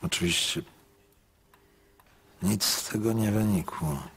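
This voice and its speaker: A man's voice: on the low side, takes it slowly, fairly bright tone, slightly rough, exaggerated voice. An actor playing a hesitant, reflective man unsure of himself in a radio play. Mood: reflective, confessional. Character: hesitant, confessional man, a puzzled museum visitor. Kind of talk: radio drama acting. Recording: music under the voice. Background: music.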